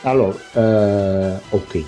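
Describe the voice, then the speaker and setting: A man's voice: fairly low pitch, fairly even intonation, drawn-out words, mellow. A man voicing a doting woman who dictates a rambling love letter, stumbling and mixing up places as she goes. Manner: rambling, hesitant, muddles places. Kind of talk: improvised comic letter. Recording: slightly muffled, faint music behind.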